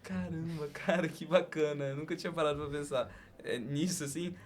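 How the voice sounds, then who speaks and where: A man with a Brazilian accent and a clearly high-pitched voice hosts a casual interview. Faint noise and ambience sit behind his voice. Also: a little fast. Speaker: podcast host.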